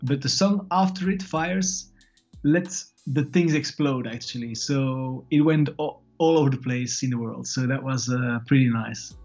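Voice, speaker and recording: A man from Belgium, a DJ guest, answering interview questions in a friendly, relaxed, somewhat hesitant way. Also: medium pitch, unhurried, highs cut off, faint music behind. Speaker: DJ and producer guest.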